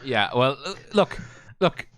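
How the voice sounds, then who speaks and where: A man's voice: mid-range voice, very animated, slow pace, fairly bright tone, no room sound. A man co-commentating a sim race stream in a jokey, chatty way, talking to the viewers. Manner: jokey, chatty, talks to the chat. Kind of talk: casual live race commentary.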